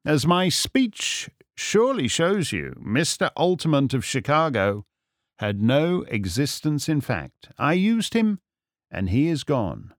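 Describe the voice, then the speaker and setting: A male narrator plays a suave English detective in an audiobook story. His lines are raised in pitch and swoop with lively inflection. He sounds urbane, wry and sure of himself, in a dry recording. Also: no room sound, exaggerated voice. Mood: wry, amused. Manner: urbane, measured, wry, formal. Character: suave, self-assured English gentleman detective, wryly triumphant. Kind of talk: audiobook character acting.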